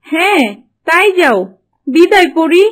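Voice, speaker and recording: A woman's voice: very high-pitched, a little slow. A woman playing a devoted, cunning young golden fish wife in a Bengali fairy-tale cartoon, with a theatrical delivery. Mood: warm, relieved. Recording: faint music behind the voice.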